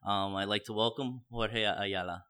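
Male podcast host, fairly low voice, conversational interviewing, halting phrasing. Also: fairly even intonation, sharp tone, clear, resonant tone.

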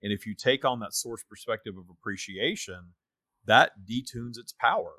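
Male host, lower register, bright forceful tone, earnest and emphatic, conversational spiritual talk. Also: animated, normal speed, dry recording. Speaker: podcast host and teacher of a spiritual practice.

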